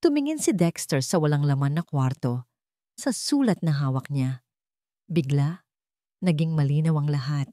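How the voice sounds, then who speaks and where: Female story narrator, Filipino accent, low register, very dry close sound, scripted dramatic read. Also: expressive, moderate pace, pauses often, warm tone, no echo.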